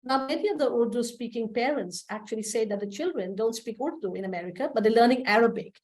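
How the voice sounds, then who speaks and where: A middle-aged woman from India, a professor, speaking at a panel in a measured, thoughtful way with frequent fillers. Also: fairly high pitch, lively intonation, a little mellow, a little hiss or hum. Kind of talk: panel talk.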